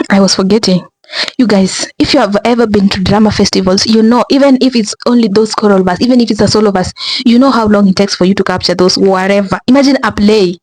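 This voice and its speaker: A woman's voice: medium pitch, dry recording, poor audio. Young Kenyan woman vlogger, chatty and rambling, close dry mic. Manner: rambling, many restarts and filler words. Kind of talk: casual commentary to viewers.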